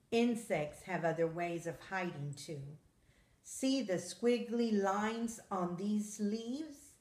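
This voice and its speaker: Female read-aloud for children's nonfiction: slightly low voice, slow careful storytelling delivery, noticeable room echo. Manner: measured, expressive storytelling for children.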